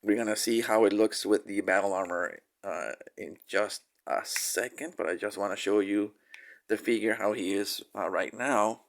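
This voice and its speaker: A man's voice: on the low side, moderate pace, gravelly. A man with a nasal voice from a cold, casually reviewing a toy figure with many fillers and restarts.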